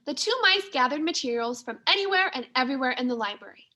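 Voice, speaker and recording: A woman teacher reading a children's story aloud in an expressive, clearly paced manner. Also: high voice, fast talker. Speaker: second grade teacher.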